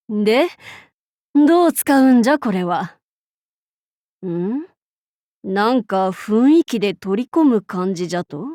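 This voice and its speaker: A woman's voice: on the high side, dark tone, no room sound, clean studio sound. A woman voicing a haughty female demon-king warlord in a video game, speaking in an archaic, lordly and commanding way with amused authority. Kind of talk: Japanese game character voice lines.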